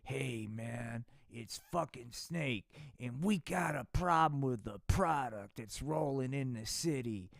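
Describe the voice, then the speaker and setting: A man's voice: medium pitch, slow pace, vocal fry, few pauses, no echo, studio quality. A man voicing a hot-tempered, profane street dealer with a swagger. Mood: defiant.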